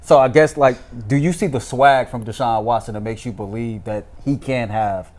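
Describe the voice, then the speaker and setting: A man's voice: medium pitch, moderate pace, a little mellow. A man hosting a sports show, putting questions to a guest in a casual way.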